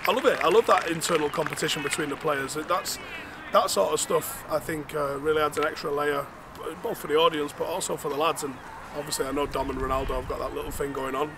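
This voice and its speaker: A man's voice: a little high, steady tone, quick pace, crowd noise. A man, speaking emphatically and bluntly as a football coach. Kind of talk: team talk and interview, swearing. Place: changing room and outdoor pitch. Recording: clear background noise.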